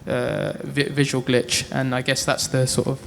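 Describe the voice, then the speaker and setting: A man's voice: mid-range voice, flat delivery, moderate pace, gravelly. A man, an artist, talking at a public panel, hesitant with many stumbling restarts. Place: art gallery talk before an audience. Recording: echoey gallery with background noise.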